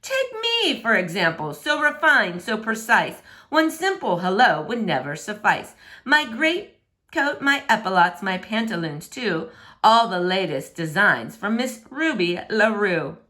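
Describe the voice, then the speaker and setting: A woman's voice: mid-range voice, sing-song, sharp tone. A woman voicing a vain, pompous prince in a rhyming storybook, haughty, theatrical and easily outraged. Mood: boastful. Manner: haughty, theatrical, exclaiming, drawn-out emphasis. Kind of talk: storybook read-aloud in rhyming verse.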